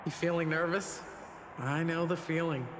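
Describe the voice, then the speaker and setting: A woman's voice: low voice, fairly even intonation, normal speed, background noise, lightly acted. A woman playing an easygoing, plain-spoken adult female confidante in a video game, casual and matter-of-fact. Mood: casual, reassuring. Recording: long reverb, music under the voice. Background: background music.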